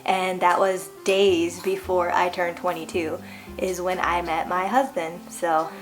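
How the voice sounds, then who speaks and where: A woman's voice: lowish voice, flat delivery, unhurried. Woman from hawaii in her 30s vlogging, chatty, laughs a lot, says like constantly, faint music behind. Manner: chatty, laughs often, lots of "like". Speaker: vlogger host. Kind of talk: casual storytelling chat.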